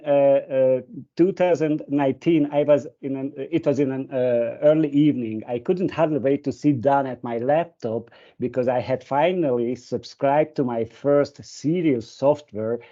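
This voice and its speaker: A man whose first language is Hungarian presents a webinar over a video call. His voice is mellow and dark, and he hesitates often, restarting phrases and filling gaps. The audio is dull and muffled. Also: medium pitch. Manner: hesitant, many fillers and restarts. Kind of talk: presentation with an anecdote.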